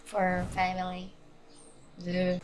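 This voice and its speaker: A woman's voice: fairly low pitch, completely flat delivery, slow pace, a little hiss or hum. A woman game streamer reacting casually and live as she plays.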